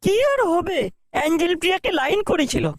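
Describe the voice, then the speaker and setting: A man voicing a grumbling, wisecracking young loafer in a comic cartoon, crude and sardonic, with a pitched-up effect. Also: brisk. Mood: mocking.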